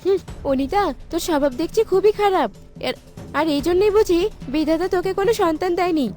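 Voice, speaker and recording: A woman's voice: extremely high pitch, fairly quick, darkish tone. A woman voicing a mature village neighbour in a cartoon, indignant and remonstrating in an exclaiming way. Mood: reproachful. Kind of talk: cartoon voice acting. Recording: music behind the voice.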